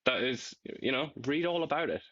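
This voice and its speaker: A man's voice: medium pitch, lively intonation, fairly quick, a bit bright, dry recording. A wry man co-hosts a political chat, laughing easily and rambling through his explanations. His voice is dry and close, with a slightly dull, lo-fi sound. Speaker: co-host. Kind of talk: casual political talk show banter.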